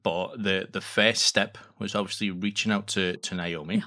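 A man being interviewed as a guest, talking casually in an earnest, halting way and often repeating words. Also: sits low, fairly even intonation, pushed and bright. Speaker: podcast guest, audio drama creator.

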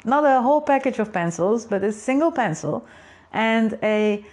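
A woman's voice: a little high, animated, normal speed, darkish tone. A woman with a Dutch accent, a native Dutch speaker, talking in a reflective way to her creative community and often asking rhetorical questions. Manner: thoughtful, asks rhetorical questions, adds "right?". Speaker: artist and community host. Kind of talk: reflective solo talk.

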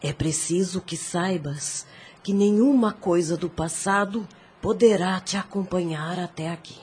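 An actress playing a stern elderly mother superior in a radio drama, speaking slowly and gravely. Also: on the low side, darkish tone. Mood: solemn. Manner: slow, grave and measured.

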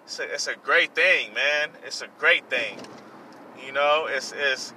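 A man's voice: mid-range voice, a little slow, pushed and bright, distant mic, a little hiss or hum, poor audio. A man in his late twenties hosting a podcast, talking in an earnest, repetitive, self-reflective way with many fillers. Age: 20s. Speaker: podcast host. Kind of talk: personal motivational monologue.

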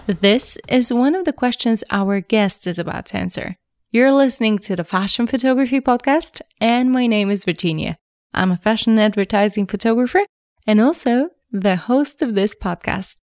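A woman's voice: on the high side, moderate pace, a little mellow, no echo, studio quality. A woman hosting a photography podcast, reading an upbeat intro and then interviewing a guest in an encouraging way. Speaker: podcast host.

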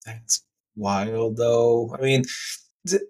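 A man's voice: medium pitch, fairly even intonation, very slow, a little mellow. A man hosting a casual podcast interview, eager and nerdy, asking follow-up questions. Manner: enthusiastic, self-described nerd, asks follow-ups. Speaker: podcast host.

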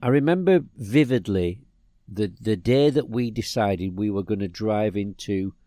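A middle-aged man from England chats on a podcast and spins a meandering story full of restarts. His voice is warm and mellow. The sound is dry and close. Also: lowish voice, unhurried, no echo. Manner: rambling storyteller, many restarts. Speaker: podcast co-host. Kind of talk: casual chat.

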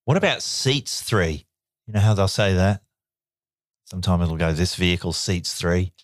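A wry man in his fifties with a low voice that swoops widely as he jokes. He laughs easily, and the recording is dry and close. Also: expressive, normal speed, no room sound. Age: 50s. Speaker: podcast co-host. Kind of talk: casual chat.

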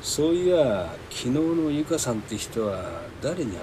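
Actor as a blunt hardboiled middle-aged man, animated pitch. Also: unhurried, noisy background. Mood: blunt, reflective. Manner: blunt, terse, old-fashioned masculine phrasing. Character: gruff hardboiled middle-aged man, a reluctant spirit medium. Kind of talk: radio drama dialogue and narration.

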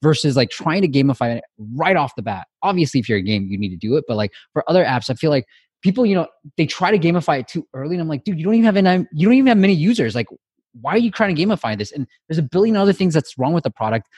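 A man's voice: a little high, very animated, racing, darkish tone, slightly rough, no echo. A man originally from Myanmar hosting a podcast, chatty and enthusiastic, with frequent use of "like". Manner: enthusiastic, chatty, uses "like" often. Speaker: podcast host. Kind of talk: casual podcast interview.

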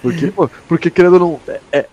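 A man's voice: fairly high pitch, fast, a little mellow, lo-fi sound. A young man with a Brazilian accent co-hosting a podcast in a casual, slangy and sweary way. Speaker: podcast co-host. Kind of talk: casual chat about a sci-fi audio drama.

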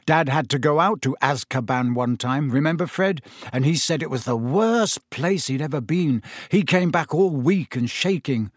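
A man's voice: on the high side, moderate pace, few pauses, no room sound, clean studio sound, close to an everyday voice. Man playing a breezy older schoolboy. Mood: reassuring. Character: breezy, calm, reassuring teenage schoolboy, older friend.

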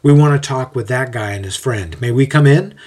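A man's voice: medium pitch, fairly quick, a little mellow, slightly rough, natural voice. A man narrating a story voices a pushy, curious townsman who talks in a blunt, insistent way. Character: pushy, curious male townsman leading a crowd.

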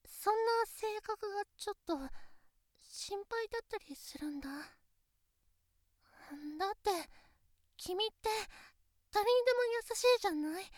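A young woman voicing an insecure teenage girlfriend who talks softly and intimately in a studio voice drama. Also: very high voice, no room sound. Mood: teasing worry. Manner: soft, intimate, pillow-talk delivery. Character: shy, insecure teenage girlfriend, female classmate. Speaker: voice actress. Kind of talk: Japanese situation voice drama.

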